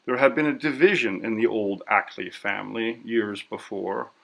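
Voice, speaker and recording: A man reading a story as scripted narration in a measured, literary delivery. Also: on the low side, gravelly, fairly bright tone. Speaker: audiobook narrator.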